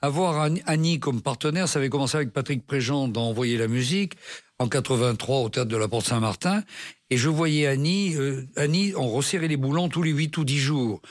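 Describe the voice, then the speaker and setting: An older man with a slightly husky, breathy voice tells stories from his life as a radio guest. He stumbles and restarts often, then livens up as he brings an old scene back to life. His pitch sits in an ordinary middle range. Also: a little fast. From France. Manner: hesitant restarts, animated retelling. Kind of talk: anecdotal interview storytelling. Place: radio studio.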